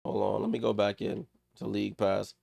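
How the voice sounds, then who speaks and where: A man's voice: on the low side, completely flat delivery, fairly quick, vocal fry, a little mellow, dry recording, clean studio sound. A man hosting a live watchalong stream, calling the game in short clipped play-by-play bursts and chatting with viewers, a little under the weather and congested. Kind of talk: casual live play-by-play commentary.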